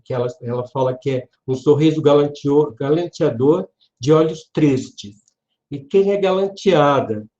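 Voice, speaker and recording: Brazilian guy teaching lit class online, mellow voice, kinda hesitant and halting, muffled lo-fi audio. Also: fairly high pitch. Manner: hesitant, halting, often seeking agreement with tags. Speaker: literature lecturer. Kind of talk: informal online lecture with read excerpts. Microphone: online video call.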